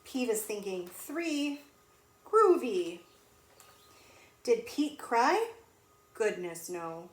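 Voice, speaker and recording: A woman teacher reading a storybook aloud to young children in an animated, sing-song way, pausing to ask them questions. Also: fairly high pitch. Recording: echoey room with faint noise.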